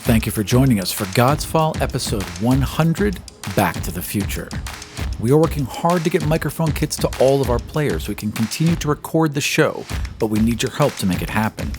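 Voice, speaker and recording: A man's voice: medium pitch, lively intonation, a little fast, music in the background. A man narrating a tabletop game as game master, setting scenes dramatically in the present tense. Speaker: game master, podcast host.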